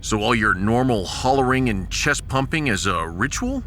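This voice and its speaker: A man's voice: mid-range voice, animated, normal speed, pushed and bright, a little hiss or hum. A man playing a firm, decisive starship captain with a dry manner in a science fiction audiodrama. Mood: wry.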